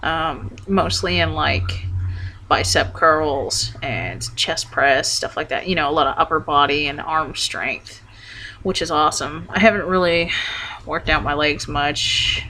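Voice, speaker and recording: Slow, hesitant young man with a bright voice. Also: a little hiss or hum, poor audio. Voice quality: voice wobbles between deeper and higher. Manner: hesitant, rambling, full of ums and restarts. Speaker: vlogger. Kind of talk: casual video update.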